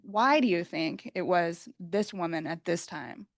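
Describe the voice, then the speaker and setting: A woman speaking as a panelist, measured and pointed. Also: on the low side, animated, no room sound, clean studio sound. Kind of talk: panel discussion.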